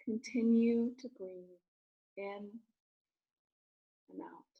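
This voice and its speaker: A woman speaking as a rabbi leading a guided meditation, giving gentle, soothing instructions in short phrases with pauses. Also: a little high, steady tone, narrow, tinny audio.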